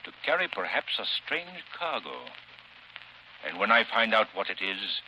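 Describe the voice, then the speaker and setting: An actor playing a steady, shrewd gentleman crime-fighter in a 1930s radio drama, measured and resolute. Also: lowish voice, full character voice. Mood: grim resolve. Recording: noisy, hissy 1930s radio recording.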